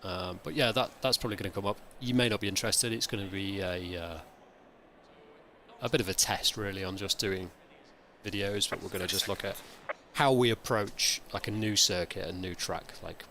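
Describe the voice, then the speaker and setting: A man streaming a sim race, with a croaky, hungover voice, rambling and thinking aloud in casual chat. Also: sits low, fairly even intonation, a little fast, bright, forceful tone, a little hiss or hum. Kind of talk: casual live-stream commentary.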